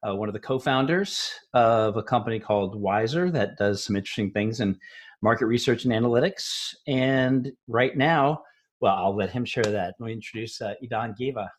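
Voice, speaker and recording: A man's voice: medium pitch, moderate pace. A man hosting a podcast, telling stories and chatting casually with lots of fillers. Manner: relaxed storytelling, chatty with many fillers. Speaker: podcast host.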